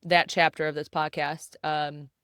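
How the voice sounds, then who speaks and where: A young woman hosting a podcast, talking in a loose, rambling way full of "like" and "um" and the odd casual swear. Her voice is smooth and clear, recorded close and dry with no room sound. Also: deep voice, level tone, unhurried, a bit bright, no room sound. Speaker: podcast host.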